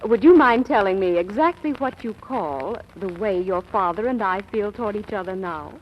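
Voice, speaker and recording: An actress playing a patient, gently dry middle-aged mother in a 1940s radio drama. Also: medium pitch, moderate pace, natural voice. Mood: wry, patient. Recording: muffled, noisy vintage radio recording.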